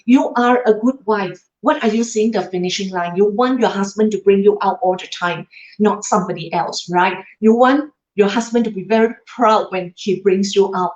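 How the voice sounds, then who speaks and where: A woman's voice: mid-range voice, moderate pace. A middle-aged woman whose first language is Cantonese gives a motivational livestream talk. She speaks straight to her viewers and drops tag questions into her sentences. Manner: addresses viewers directly, tag questions like "huh?" and "lah". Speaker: livestream host. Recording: roomy, slightly dull livestream audio.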